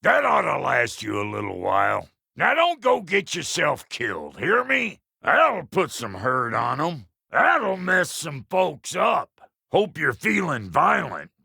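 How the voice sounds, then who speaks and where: A gravelly, foul-tempered dwarf blacksmith from a fantasy video game, with an American accent. He is cocky and blunt in a folksy way. His pitch swoops wildly and his tone is hard and forceful. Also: dry recording, clean studio sound, heavily acted. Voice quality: gravelly, rough. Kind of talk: video game character lines.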